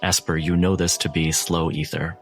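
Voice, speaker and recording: A man playing a calm, quietly controlling asylum doctor in a tabletop roleplay, softly solicitous and condescending. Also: sits low, moderate pace, soft background music.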